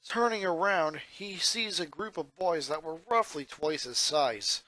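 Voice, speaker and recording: A man's voice: a little high, lively intonation, unhurried, bright, forceful tone, low-quality recording. A man reading a fan fiction story aloud as scripted narration.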